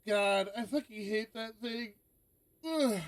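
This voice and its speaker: A man's voice: sits high, slow, clear, resonant tone, no echo. A man reading aloud and chatting casually on a game stream, sometimes swearing in asides. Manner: casual, sweary asides between narrated passages. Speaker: host of a visual novel stream.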